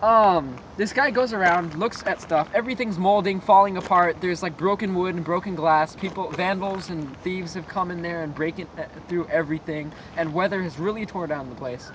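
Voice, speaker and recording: A young man rambling through a creepy story with lots of fillers, recorded in a dark room on a camera a few feet away. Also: sits high, moderate pace, hardly any pauses. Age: young adult. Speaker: co-host. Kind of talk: casual storytelling. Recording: noisy camera audio.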